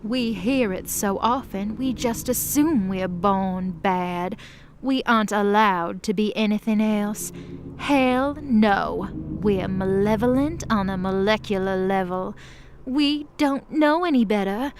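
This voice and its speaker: A woman's voice: medium pitch, takes it slowly, a little hiss or hum, close to an everyday voice. A woman playing an embittered, defiant demon of hell in an audio drama, speaking with passion and slangy sarcasm. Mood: bitter, sardonic. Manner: impassioned, sarcastic, casual slangy speech. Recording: prominent music under the voice.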